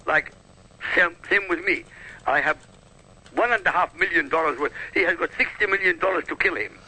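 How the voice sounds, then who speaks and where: A man's voice: a little high, moderate pace, sharp tone, breathy edge, rough recording. A man speaking as a radio guest, a native Arabic speaker giving polemical commentary in an emphatic, oratorical manner.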